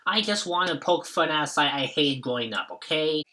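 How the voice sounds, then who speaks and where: Very bright-voiced young man reviewing. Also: fairly high pitch, animated, a little fast. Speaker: video reviewer and host. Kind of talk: scripted retrospective commentary.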